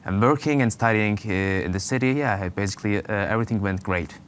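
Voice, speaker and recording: Young Turkish-accented man, low voice. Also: fairly quick, slight background noise. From Turkey. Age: 20s. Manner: hesitant, many fillers and restarts. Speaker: guest. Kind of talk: casual interview answers.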